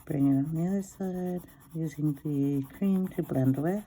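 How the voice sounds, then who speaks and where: A woman with a low, very dark and soft-edged voice talks through a craft demonstration casually. She murmurs as if thinking aloud, unhurried and absorbed in what she is doing. There is faint noise behind her, with pencil rubbing. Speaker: craft tutorial host.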